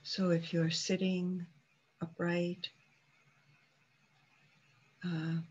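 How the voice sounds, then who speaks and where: Slow, low-pitched woman guiding meditation. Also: husky, lo-fi sound. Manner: calm, unhurried, with long pauses between short phrases. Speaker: meditation teacher. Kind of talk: guided Buddhist meditation.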